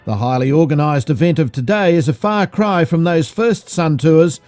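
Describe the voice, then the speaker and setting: Newsreel narrator, male, Australian accent, fairly high mellow voice, scripted delivery over music. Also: moderate pace. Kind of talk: scripted narration in old cinema newsreel format.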